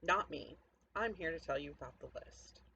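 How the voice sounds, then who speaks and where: A hard-bitten, sardonic survivor of a zombie apocalypse as a story narrator plays the part tells their tale directly to the listener. The delivery is conversational, cynical and defiant, in a middling pitch. The recording is muffled and lo-fi, with faint noise behind the voice. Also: lively intonation, moderate pace, gravelly, bright, forceful tone. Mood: scornful, then grimly wry. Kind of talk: first-person dramatic story narration. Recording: dull lo-fi audio, faint background noise.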